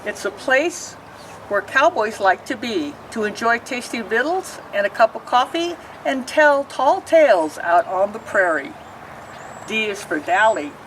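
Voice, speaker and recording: A woman reading a rhyming picture book aloud, pausing to explain the pictures. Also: medium pitch, recorded from a distance, noisy background. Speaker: storybook reader.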